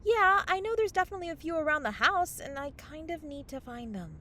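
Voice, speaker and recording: High-pitched, flighty young fairy. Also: female voice, lively intonation, a little fast, bright, forceful tone. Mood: evasive, casual. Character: flighty, sheepish young female fairy who loses magic gems. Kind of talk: fantasy comedy audio drama acting.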